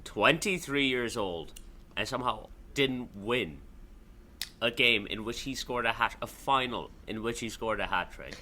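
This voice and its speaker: A man's voice: medium pitch, expressive, measured pace, sharp tone, slight background noise. A man with a mixed international accent co-hosting a casual football podcast, blunt, wry and sweary. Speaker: podcast co-host.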